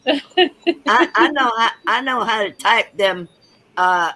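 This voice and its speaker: Bright, hesitant woman. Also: on the high side, lively intonation, slow, poor audio. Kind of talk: casual chat.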